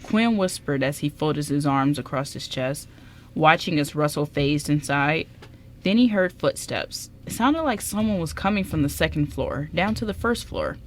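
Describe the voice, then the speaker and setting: A woman's voice: low-pitched, lively intonation, moderate pace, a little hiss or hum. A woman reading scripted story narration as the narrator of a radio drama.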